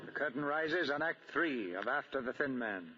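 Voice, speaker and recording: A man's voice: medium pitch, animated, moderate pace. A man reading dramatic scripted narration for a 1940s radio play. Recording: muffled 1940 radio broadcast. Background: loud music.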